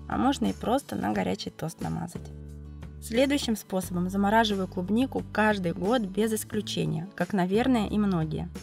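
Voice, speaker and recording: A woman with a cold, narrating a cooking video as its host, explaining each step calmly and methodically. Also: medium pitch, gravelly. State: has a cold, voice affected. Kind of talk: calm recipe voice-over narration.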